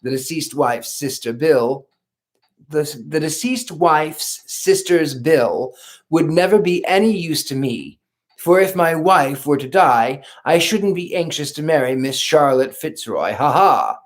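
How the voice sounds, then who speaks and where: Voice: medium pitch, animated, unhurried, natural voice. A reader voicing a jovial old earl who laughs heartily and teases in a storybook reading. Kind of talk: audiobook reading.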